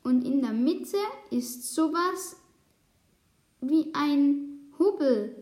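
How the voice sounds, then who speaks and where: A woman's voice: high voice, expressive, drawn-out words, darkish tone, exaggerated voice. A woman voicing a naive, anxious but playful mother cow in a children's storybook, hesitant and exclamatory. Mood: worried, hesitant. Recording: roomy, echoing sound.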